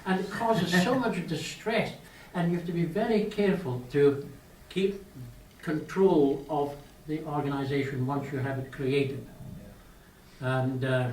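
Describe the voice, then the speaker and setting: A man's voice: medium pitch, a little mellow. Man chairing a meeting in an echoey hall. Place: meeting room. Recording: echoey hall, distant mic, faint hiss.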